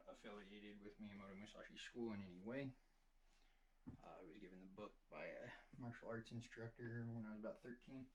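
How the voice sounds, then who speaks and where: A man's voice: lowish voice, rough recording. A man giving a casual, unscripted spoken introduction as the presenter of an audiobook. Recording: noticeable background noise.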